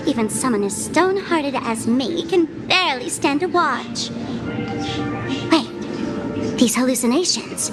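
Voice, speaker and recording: An actress playing a cheeky, self-assured young streamer girl in a game, with a playful, dramatic manner. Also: sits high. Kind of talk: scripted game character acting. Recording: very loud game music behind the voice. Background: music.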